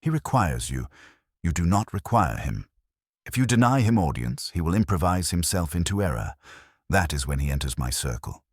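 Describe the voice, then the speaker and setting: A man's voice: deep voice, sing-song, moderate pace, slightly rough, no echo, studio quality, full character voice. A man voicing a shrewd, commanding master detective in an audiobook, speaking formally, precisely and with authority. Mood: firm, commanding.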